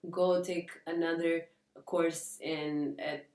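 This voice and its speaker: A woman talks through her thoughts in an earnest, casual podcast chat, filling pauses as she hunts for the right word. Her voice sits a little low. She sounds set back from the mic in an echoey room. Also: level tone, a little mellow, far from the mic. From Portugal. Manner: searching for words, many fillers, earnest. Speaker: podcast co-host.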